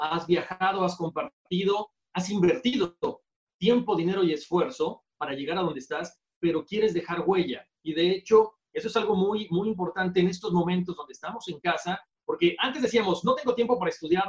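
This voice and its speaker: A man's voice: sits high, a little fast. A man from Mexico, hosting an interview and affirming his guest in a conversational way. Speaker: interviewer, podcast host. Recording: echoey, dull room sound.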